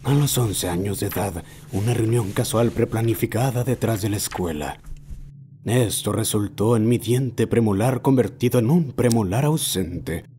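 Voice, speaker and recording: A man voicing an obsessive old man who recounts his lifelong fixation in a solemn, deliberate horror narration. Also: fairly low pitch, mellow, a little hiss or hum, lightly acted. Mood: brooding, solemn. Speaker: amateur narrator of a horror short. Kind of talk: scripted first-person horror narration.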